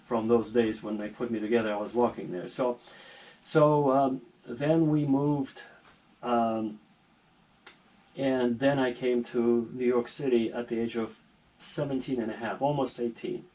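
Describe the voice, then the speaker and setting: A middle-aged man from Armenia talks his way through his life story in an earnest, rambling style, often breaking off and restarting his sentences. His voice sits at an ordinary pitch and sounds a little distant from the microphone. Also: steady tone, a little slow, darkish tone. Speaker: interview guest. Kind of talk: casual video-call conversation.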